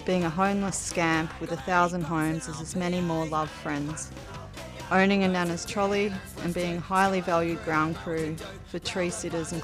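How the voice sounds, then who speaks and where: An Australian woman reading scripted memorial tributes for a podcast. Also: on the low side. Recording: music behind the voice. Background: music.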